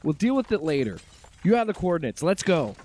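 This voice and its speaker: An actor playing a wry, exasperated agency director in an audio drama, with a casual way of talking. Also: male voice, a little high, sing-song, brisk, a little mellow.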